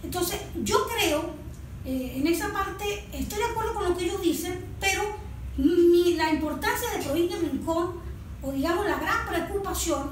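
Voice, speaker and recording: A woman with a Venezuelan Spanish accent explaining things formally and didactically as an interview guest. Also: sits high. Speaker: guest, environmental project proponent. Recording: distant mic, very echoey noisy room.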